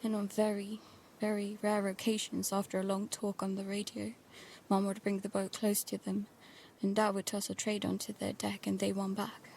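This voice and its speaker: Woman as nervy stubborn teen girl on a boat, audio drama, pretty even delivery, bit of background noise. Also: mid-range voice, moderate pace, vocal fry, natural voice. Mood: uneasy, hushed. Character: anxious, stubborn teenage daughter narrating life aboard a boat. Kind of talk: audio drama acting with first-person narration.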